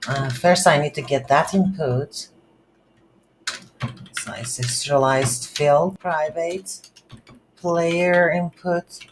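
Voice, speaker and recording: A non-native English speaker teaches coding in a slow, halting way, thinking aloud step by step. The pitch is medium, and there is faint noise with keyboard typing behind the voice. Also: poor audio. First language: not English. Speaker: programming tutorial instructor. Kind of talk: screen-recorded coding tutorial, unscripted explanation. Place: indoors at a computer.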